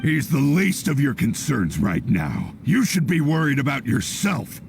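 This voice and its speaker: A man voicing a menacing, arrogant enemy soldier in a video game, gravelly and grandiose. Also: medium pitch, big pitch swings, measured pace, background noise. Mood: menacing, scornful. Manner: threatening, grandiose. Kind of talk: animated game dubbing.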